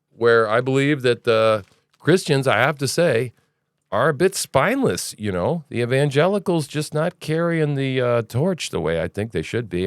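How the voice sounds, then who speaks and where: Guy doing an opinionated news podcast, smooth clear voice, really animated, rambly and sarcastic, dry sound. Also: mid-range voice, dry recording. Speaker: podcast host. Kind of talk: casual conservative news commentary.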